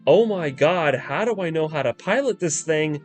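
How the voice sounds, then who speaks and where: A man's voice: a little high, animated, normal speed, natural voice. A man voicing a panicking young male mecha pilot in a comic, frantic, pleading way. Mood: comic panic. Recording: voice-over with music underneath.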